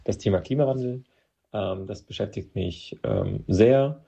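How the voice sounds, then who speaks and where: A man from Moldova whose first language is Russian, an interview guest talking hesitantly with many pauses and restarts. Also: fairly low pitch, vocal fry, highs cut off, noisy background. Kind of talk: personal interview account.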